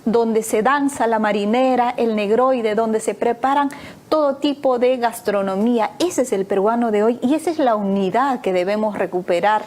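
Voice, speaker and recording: A woman's voice: on the high side, normal speed, a little mellow. Articulate woman hosting a talk show. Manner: articulate, lists ideas with many fillers. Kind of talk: opinionated talk show discussion.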